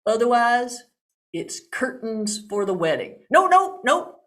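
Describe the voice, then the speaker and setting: A woman's voice: on the high side, sing-song, slow pace. A woman voicing a young male suitor who talks in a folksy drawl full of exasperated exclamations. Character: exasperated young man, a plain-talking suitor.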